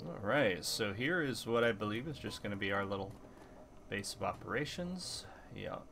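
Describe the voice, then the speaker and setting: A man hosting a let's play, talking casually with lots of ums, his voice stuffy and a little hoarse. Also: lowish voice, normal speed, a little hiss or hum. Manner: rambling, relaxed, many ums. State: stuffy, slightly hoarse throat. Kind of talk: casual gameplay commentary. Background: faint game music.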